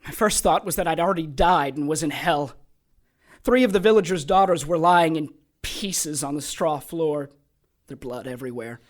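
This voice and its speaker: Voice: mid-range voice, moderate pace, natural voice. An actor playing a sadistic sorcerer and former soldier in an audio drama, taunting and theatrical, with gleeful menace. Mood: grim storytelling. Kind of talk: audio drama acting, storytelling monologue.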